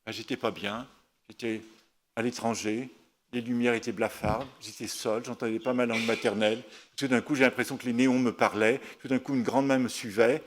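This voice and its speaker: A man voicing a troubled male patient who haltingly recalls a breakdown abroad. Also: medium pitch, fairly even intonation, a little hiss or hum, close to an everyday voice. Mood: subdued.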